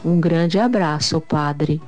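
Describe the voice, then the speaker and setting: A woman from Cubatão, São Paulo, reading out her testimony to a priest in a measured, earnest way. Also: low voice, darkish tone, faint music behind. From Cubatão, São Paulo, Brazil. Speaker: listener giving a testimony. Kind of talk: read testimony.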